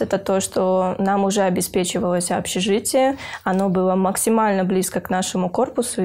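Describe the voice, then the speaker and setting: A young woman, a university student from Kyrgyzstan, speaking earnestly in an interview, pausing with hesitant filler sounds and restarting her phrases. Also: medium pitch, darkish tone. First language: Russian. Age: young adult. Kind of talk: interview for a university news feature.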